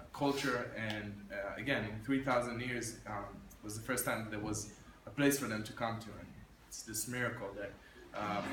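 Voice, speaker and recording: A man's voice: mid-range voice, fairly bright tone, a little hiss or hum. Young man with many hesitations. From Israel. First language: Arabic. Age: 20s. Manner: hesitant, many ums and restarts, wry humor. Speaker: guest speaker, military officer. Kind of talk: informal presentation to students. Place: indoor room with an audience. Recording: roomy echo from a distant mic.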